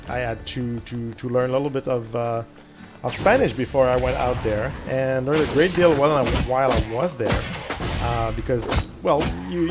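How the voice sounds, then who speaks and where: A middle-aged man whose first language is French, casually commenting on a game as he plays, thinking aloud with restarts and little self-deprecating asides. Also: mid-range voice. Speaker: gaming channel host. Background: game music.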